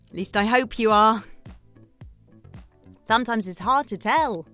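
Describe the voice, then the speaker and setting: A woman playing a lonely, desperate late-night radio DJ in an audio drama, speaking in a halting, pleading way. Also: fairly high pitch, a bit bright.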